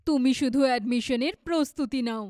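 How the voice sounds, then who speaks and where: Casting: woman as responsible elder sister, high voice, reassuring, cartoon storytelling, dry recording. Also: a little fast, a little mellow, dry recording, close to an everyday voice. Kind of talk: dramatized children's moral story.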